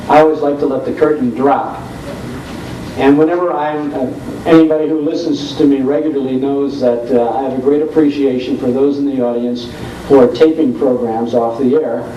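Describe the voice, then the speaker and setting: Mellow man in an echoey room. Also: medium pitch. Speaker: old time radio show host. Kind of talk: explanatory panel talk. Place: convention room before an audience. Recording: roomy, distant, faintly noisy video recording.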